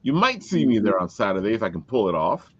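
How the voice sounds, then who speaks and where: Male podcast host, enthusiastic casual promo chat, very lively intonation, slightly muffled lo-fi sound. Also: lowish voice, quick pace, slightly rough, no room sound. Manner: enthusiastic, plugging.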